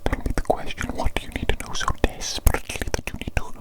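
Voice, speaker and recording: A woman voicing a pompous, fussy evil doctor in a whispered ASMR roleplay, breathy and given to repeating phrases emphatically. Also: fast, close to an everyday voice. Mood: exasperated, irritated. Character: pompous, exasperated evil doctor, self-proclaimed mad scientist genius.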